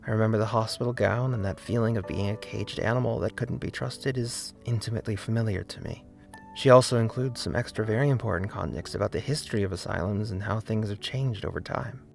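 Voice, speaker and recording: Slightly creaky man narrating a video essay. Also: fairly low pitch. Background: faint background music.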